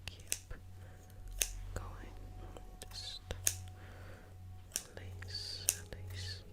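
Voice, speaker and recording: A woman whispering breathily and soothingly as an ASMR reiki healer, slowly guiding a healing session and repeating calming phrases.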